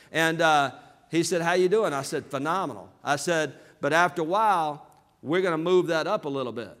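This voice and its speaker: A man from southern Alabama with a Southern American accent giving an energetic motivational business seminar, calling out to his audience for responses. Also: on the high side. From southern Alabama, USA. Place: large hall with live audience. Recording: reverberant hall.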